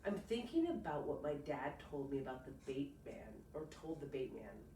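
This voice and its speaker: A woman teacher reading a picture book aloud to a child, pausing to explain and prompt with encouraging questions. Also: a bass voice, big pitch swings, dark tone. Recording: roomy, distant mic, faint noise.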